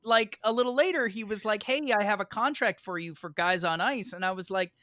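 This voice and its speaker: A man's voice: sits high, a little fast, no room sound, studio quality. A man telling a personal anecdote casually as a podcast guest, acting out both sides of remembered conversations. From United States, New York. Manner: animated, acts out both sides of remembered exchanges. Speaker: podcast guest, stage actor.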